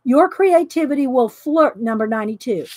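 Middle-aged woman, high voice, loud and chatty craft host, laughs a lot. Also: animated, normal speed, dry recording, clean studio sound. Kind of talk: casual live craft chat. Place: home craft room. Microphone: overhead camera pointing down at desk.

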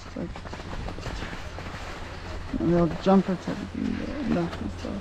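Woman skiing, loud wind on mic. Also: fairly low pitch, huge pitch swings, vocal fry. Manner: halting, restarts and trailing sentences. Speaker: vlogger. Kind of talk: casual commentary while skiing. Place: outdoor ski slope.

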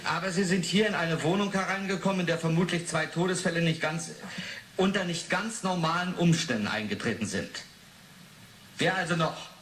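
An actor in a 1980s radio play playing a curt, suspicious male police inspector, brusque, probing and deliberate. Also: fairly high pitch, a bit bright, close to an everyday voice. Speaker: police investigator. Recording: roomy, faintly noisy 1980s radio drama.

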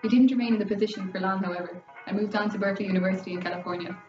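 A woman's voice: mid-range voice, fairly even intonation, very fast, a little mellow, highs cut off. A young woman with an Irish accent races through a scripted educational narration. She sounds distant in a roomy space, with music behind her. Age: young adult. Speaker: student presenter. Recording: band-limited, roomy, distant mic, faint music.

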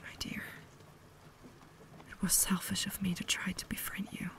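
A woman voicing a cultured, caring, tormented vampire lady in a breathy whisper, with formal, measured diction for an ASMR roleplay. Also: medium pitch, flat delivery, pushed and bright. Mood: sorrowful, caring.

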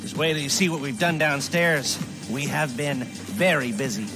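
An actor with an American accent playing a nervous, nerdy middle-aged criminal planner in a video game, halting and fussy. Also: male voice, fairly high pitch, lightly acted. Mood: excited, explaining. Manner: halting, fussy, chuckling awkwardly. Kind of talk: video game cutscene acting. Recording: music behind the voice.

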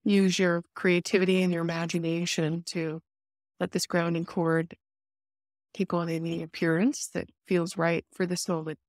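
A woman leading a guided meditation, giving soothing, unhurried instructions with pauses between phrases. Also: lowish voice, flat delivery, no echo, clean studio sound.

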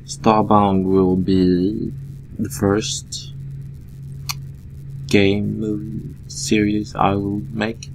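Man, Swedish accent, deep voice, gravelly, slow let's-play commentary, game music loud behind. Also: background noise. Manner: relaxed, thinking aloud while playing, slow with fillers. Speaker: gameplay commentator.

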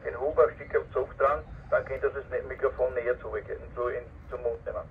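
A man's voice: medium pitch, flat delivery, fairly quick, distant mic. Muffled man on noisy CB radio. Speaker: CB radio operator. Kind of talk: casual radio exchange.

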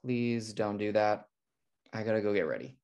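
A man's voice: lowish voice, lively intonation, normal speed, darkish tone. A man playing a fussy, tidy, exasperated brother in a comedy table read. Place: indoor room on a video call. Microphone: video call.

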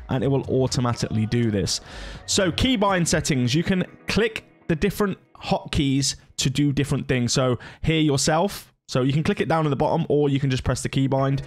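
A man in his thirties giving a casual software walkthrough as a gaming YouTuber. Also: medium pitch. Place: indoor home gaming setup.

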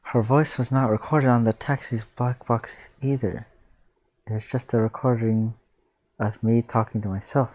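A man reading aloud casually as a reactor, with spontaneous, expressive exclamations between the lines. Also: fairly low pitch, no room sound, low-quality recording.

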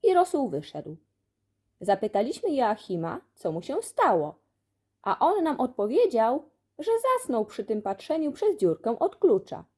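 Animated woman reading a children's story. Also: fairly high pitch, unhurried, breathy edge. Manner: animated storytelling, acts out dialogue. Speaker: audiobook reader. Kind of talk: expressive children's story narration.

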